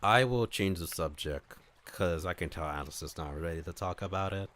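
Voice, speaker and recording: A man streaming an expressive, playful read-aloud of a visual novel, narrating and acting out the dialogue. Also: low-pitched, moderate pace. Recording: music behind the voice. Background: game music.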